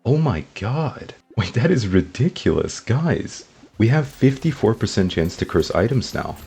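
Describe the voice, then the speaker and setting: A man with a slightly sick, rough throat, giving upbeat casual commentary over his gameplay and talking to his viewers. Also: lowish voice, very animated, measured pace, dark tone, soft background music. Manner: upbeat, talks to viewers as guys. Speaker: gaming YouTuber.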